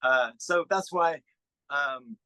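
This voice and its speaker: A man's voice: fairly high pitch, slow, bright, forceful tone, clean tone, no room sound. A middle-aged man, a musician, chatting casually and informally in an interview in a self-effacing way. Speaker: musician and record producer being interviewed.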